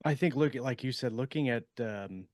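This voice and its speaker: A man's voice: mid-range voice, fairly quick, darkish tone, no echo. Man chatting, mid-range, dry sound. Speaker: podcast co-host. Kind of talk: casual chat.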